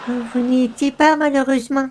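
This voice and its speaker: Woman acting fussy, anxious, high-voiced devoted acquaintance. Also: fairly even intonation, moderate pace, darkish tone, soft background music, natural voice. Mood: fretful, effusive. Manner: florid, old-fashioned formal wording, hesitant. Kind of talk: 1940s-style radio comedy acting.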